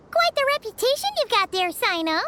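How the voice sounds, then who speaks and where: Game fairy companion: tiny girl, extremely high squeaky voice, chirpy, very animated. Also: very noisy. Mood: curious, teasing. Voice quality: squeaky, childlike. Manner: exclaiming, refers to itself in third person.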